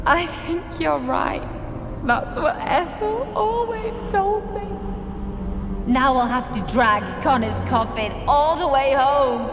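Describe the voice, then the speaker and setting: An actress playing a bitter, grieving young widow in a video game, accusing and anguished. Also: female voice, high-pitched. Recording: echoey reverberant space with music behind.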